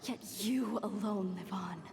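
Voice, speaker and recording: A woman's voice: medium pitch, unhurried, creaky. A woman voicing a bitter, reproachful figure from a haunting memory in a video game, with dramatic delivery. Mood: accusing anguish. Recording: long reverb, noisy ambience behind.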